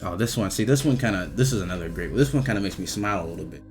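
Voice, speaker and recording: Very animated man in casual commentary. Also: lowish voice, loud music behind the voice. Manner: repetitive, halting, slangy and profane. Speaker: video creator reviewing game voice lines.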